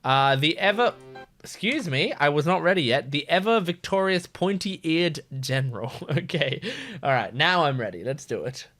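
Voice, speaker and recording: A man with a fairly high, lively voice giving casual reaction commentary. He is informal and playful, rambling along, with some music behind him. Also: moderate pace. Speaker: reaction video host.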